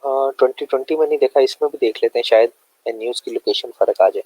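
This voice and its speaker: A man's voice: mid-range voice, fast, dark tone, no echo, poor audio. A man with a sore throat, giving a loose, conversational screen-share lesson full of fillers. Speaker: web development tutor.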